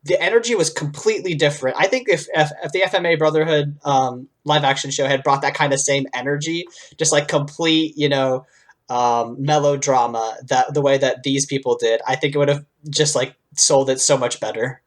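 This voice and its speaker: Very low-voiced woman, chatty. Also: brisk. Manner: conversational, acts out quoted reactions. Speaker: podcast co-host. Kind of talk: casual pop-culture chat.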